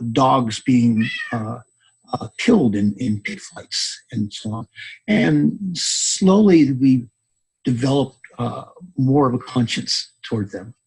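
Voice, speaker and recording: A man is talking as a guest author in a podcast interview. His voice is very husky and rough at a middling pitch. He speaks hesitantly, often repeating a word before he moves on. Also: poor audio. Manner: halting, hesitant, repeating words.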